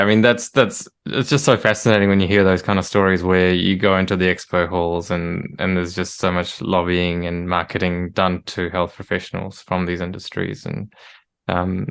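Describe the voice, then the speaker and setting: Deep, flat-voiced New man. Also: vocal fry, clear, resonant tone. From New Zealand. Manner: easygoing, soft affirmations, some hesitant fillers. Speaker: podcast host. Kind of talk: casual interview chat.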